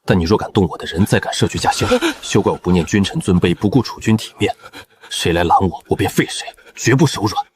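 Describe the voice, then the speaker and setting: A man's voice: deep voice, expressive, fast talker, a crowd in the background. A man playing a stern, loyal and fiercely protective general in a Chinese costume drama. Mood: defiant anger.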